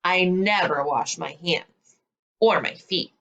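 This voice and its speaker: A woman voicing a brazen, self-declared bad little seed in a storybook, with dramatic, confessional delivery and drawn-out words. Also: fairly low pitch, a bit bright, lo-fi sound.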